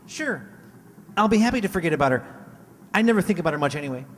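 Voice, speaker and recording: Man as gushing teenage boy, very high male pitch, old-time radio comedy, echoey hall, faint noise. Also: big pitch swings, fast. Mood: eager, gushing. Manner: earnest, gushing. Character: eager, talkative teenage high school boy. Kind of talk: 1940s radio comedy acting.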